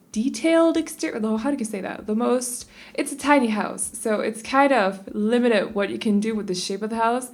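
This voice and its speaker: A young Danish woman chatting casually and rambling a little as she talks over a game speed build, often restarting her sentences. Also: medium pitch. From Denmark. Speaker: YouTube creator.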